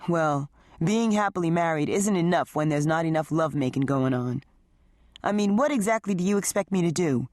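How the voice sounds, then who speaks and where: A woman's voice: low-pitched, lively intonation, brisk, darkish tone, no echo, studio quality. A woman voicing a resentful married man who talks in a hostile, sarcastic tone. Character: resentful, bitter married man in his forties.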